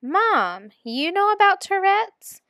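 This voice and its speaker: A woman's voice: extremely high pitch, sing-song, takes it slowly, fairly bright tone, no echo, clean studio sound, pitched above the performer's own voice, heavily acted. A woman voicing a spirited, outspoken young teenage girl in a storybook, direct and insistent. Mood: surprised.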